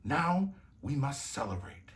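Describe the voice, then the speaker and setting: A man voicing a gallant, protective toy nutcracker prince in a storybook, with a low, hushed, courtly voice. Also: very animated, slow. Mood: warm, proud.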